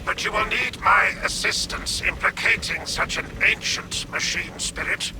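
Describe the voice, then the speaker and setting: An actor voicing a reverent, grandiose machine-priest in a sci-fi war game, speaking in ornate, formal phrases. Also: male voice, sits high, measured pace, gravelly, very noisy. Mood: reverent, pleading. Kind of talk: dramatic video game acting.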